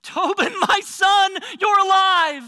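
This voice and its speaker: A man performs a big gray-bearded father in a dramatic monologue. He cries out with fierce love in a high, piercingly bright voice that swings widely in pitch. His delivery is emphatic and full of feeling. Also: animated, very fast, in a large hall, exaggerated voice. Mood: tearful joy. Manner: emphatic, crying out with emotion.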